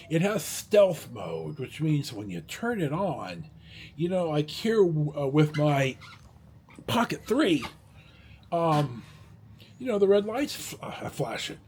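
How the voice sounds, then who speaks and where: A man talking casually in a rambling, halting vlog style, blunt and a little salty, with a husky, rough-edged voice. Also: a little high, darkish tone. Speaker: vlogger.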